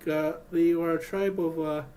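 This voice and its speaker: A man vlogging in a rambling, halting way, with many restarts and fillers. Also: a little high, steady tone, warm tone, slight background noise. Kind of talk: rambling personal vlog.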